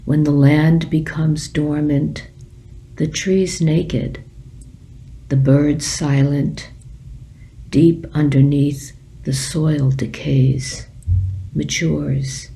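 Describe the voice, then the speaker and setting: A woman leading a guided meditation as a teacher, speaking gently and leaving long pauses. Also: sits low, flat delivery, vocal fry, rough recording.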